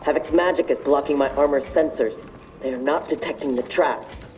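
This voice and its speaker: A woman's voice: sits low, moderate pace, a little mellow, slight background noise, close to an everyday voice. An actress playing a cold, proud female fighter in a video game, with formal, detached delivery. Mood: cool, factual. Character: cold, proud armored female fighter, ruthless and matter-of-fact. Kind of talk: video game cutscene acting.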